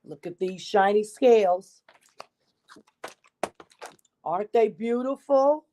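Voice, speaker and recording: A woman's voice: mid-range voice, takes it slowly, warm tone, lo-fi sound, slight background noise. A woman reading a children's story aloud expressively, with asides to her young listeners.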